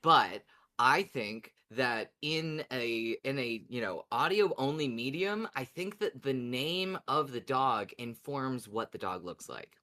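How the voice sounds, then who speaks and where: A woman's voice: a bass voice, animated, takes it slowly, sharp tone. A woman hosting a podcast in a casual, conversational style. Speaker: podcast host.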